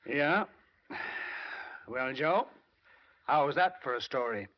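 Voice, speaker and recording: An actor playing a confident, talkative American chief who speaks in brisk, punchy phrases in old-time radio drama style. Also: male voice, mid-range voice, animated. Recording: muffled old radio recording.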